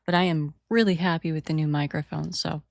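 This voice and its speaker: A woman with a raspy morning voice chatting casually and rambling while she paints a model at her desk, on a new microphone without filters. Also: deep voice, fairly even intonation, a little fast, dry recording. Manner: rambling, apologetic, trails off mid-sentence. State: early-morning raspiness. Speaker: hobby painter and channel host. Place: home desk setup.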